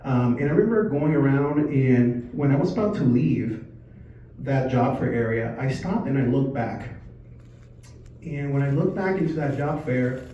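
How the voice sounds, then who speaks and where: A man in his forties from Puerto Rico gives an informal presentation, telling a personal story in a conversational, halting way. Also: medium pitch, animated, far from the mic. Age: 40s. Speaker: speaker at a company sales meeting. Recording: echoey room from afar, muffled, faint noise.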